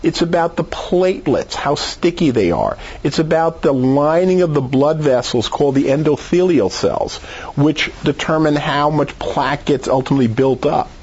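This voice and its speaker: A man's voice: on the high side, animated, normal speed, a little mellow, husky, highs cut off. A man speaking as a medical expert in an interview, giving conversational advice in an emphatic, persuasive way. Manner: emphatic, persuasive, rhetorical questions. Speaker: doctor, expert guest.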